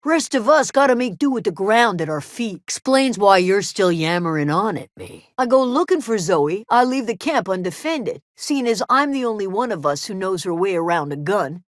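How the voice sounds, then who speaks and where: A woman playing a gruff, guarded frontier settler who watches over a camp, terse and plain-spoken, in a video game. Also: mid-range voice, very animated, moderate pace, few pauses, no echo, studio quality, close to an everyday voice. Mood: worried, dutiful. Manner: terse, wary, plain-spoken with folksy drawn phrasing. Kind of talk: video game character dialogue.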